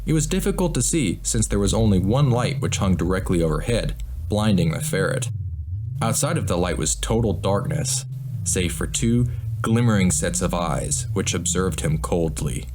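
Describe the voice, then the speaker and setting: Low-voiced man narrating over noisy ambience. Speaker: author narrating his own audiobook. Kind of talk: scripted dramatic story narration. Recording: clear background noise and ambience. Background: sound-effect ambience.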